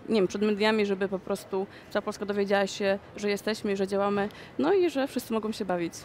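A young woman, a dance choreographer, answering interview questions in a conversational way with frequent pauses, recorded in a shopping mall. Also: medium pitch, a little mellow, a little hiss or hum.

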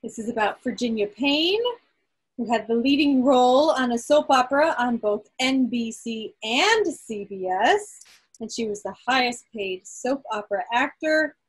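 A woman hosting a quiz on a group video call, reading out results to the group with deliberate emphasis. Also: a little high, lively intonation, husky, rough recording. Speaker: quiz host at a club meeting.

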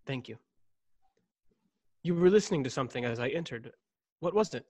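A man's voice: mid-range voice, lively intonation, a little mellow, lightly acted. A man in his thirties playing a brooding, stiffly formal clone in a science-fiction radio play. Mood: guarded, formal. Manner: measured, stiffly formal diction. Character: brooding, formal young man, secret clone of noble family. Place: home room on video call. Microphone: desk condenser microphone, headset.

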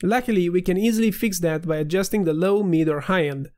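Man narrating a software tutorial. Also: high voice, a little fast. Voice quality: slightly nasal. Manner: clear, steady instructional delivery. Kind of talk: scripted screen-recording tutorial voiceover.

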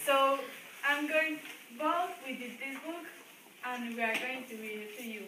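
A woman's voice: high voice, measured pace. A young woman reading a story aloud to schoolchildren in a classroom, recorded from across the room. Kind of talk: read-aloud story with questions to listeners. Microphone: distant camera across the room. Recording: echoey room, distant mic, background noise.

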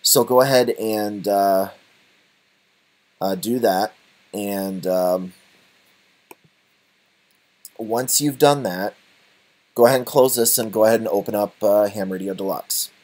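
A man presenting an unscripted software tutorial, speaking haltingly with frequent uhs as he walks through each step. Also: lowish voice. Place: voice-over for a screen recording.

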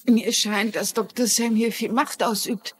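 An actress playing a polite, curious older woman mystery writer, gently probing in a dubbed TV drama, with a husky voice. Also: a little high, fairly even intonation, measured pace, no echo.

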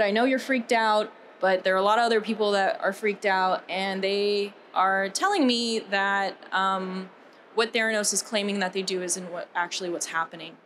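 A young woman with an American accent speaks on stage in a conference keynote style, telling her story conversationally. Her pitch is average for a woman, and she halts and repeats words at times. There is faint ambient noise behind her voice. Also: a bit bright. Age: young adult. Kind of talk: conference talk, personal storytelling.

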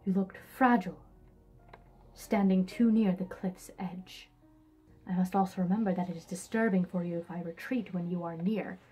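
A woman acts out an arrogant, brooding duke from a romance novel. The delivery is pompous and breathy, full of melodrama. Faint music plays behind. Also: fairly low pitch, steady tone, moderate pace, dark tone. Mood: intense, dramatic. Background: classical music.

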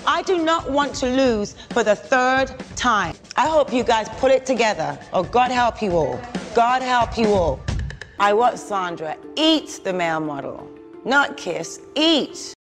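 A woman's voice: fairly high pitch, lively intonation, unhurried, soft background music. A woman playing a fierce, exasperated and intimidating woman, with an emphatic, threatening delivery. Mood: intense.

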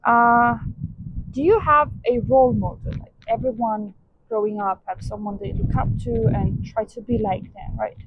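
A woman's voice: on the high side, normal speed, breathy edge. A young woman hosting an outdoor interview in a stone courtyard, asking questions in a friendly, slightly hesitant way. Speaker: interview host. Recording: dull lo-fi sound.

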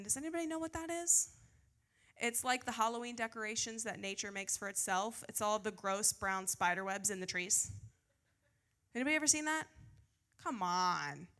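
A woman's voice: medium pitch, creaky, sharp tone. An American woman preaching as a pastor in an informal, self-deprecating way, mixing scripture reading with chatty questions to her listeners, on a handheld mic. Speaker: pastor preaching to a youth group. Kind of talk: conversational sermon with scripture reading. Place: church stage before a seated audience. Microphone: handheld microphone.

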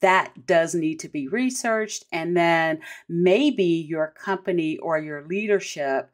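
A woman's voice: on the low side, takes it slowly. A middle-aged American woman hosting a solo podcast, explaining things in an instructive, conversational way. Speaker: podcast host.

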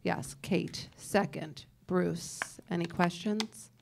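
A woman chairing a public board meeting, briskly calling motions and reading resolutions aloud in a large meeting room. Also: lowish voice, steady tone, vocal fry, noisy background. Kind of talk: formal meeting procedure.

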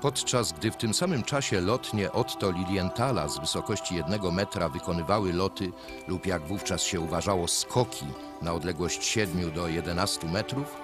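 A very deep male voice reads documentary narration in an even, measured way. Loud orchestral-style music plays behind him throughout the voice-over. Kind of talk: scripted narration.

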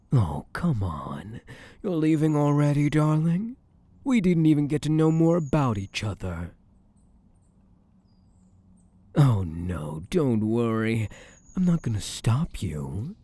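Man playing a smooth-talking, dark-voiced possessive mafia boss. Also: medium pitch, no room sound, exaggerated voice. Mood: menacing, mocking. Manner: teasing, drawling, menacingly sweet with pet names. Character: smug, possessive, flirtatious male mafia boss. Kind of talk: intimate ASMR roleplay acting.

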